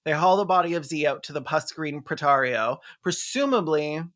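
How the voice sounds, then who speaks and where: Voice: mid-range voice, normal speed, fairly bright tone, a little hiss or hum. A video essayist giving a sarcastic, theatrical comedic recap of a film. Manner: sardonic, theatrical, playful mock quoting.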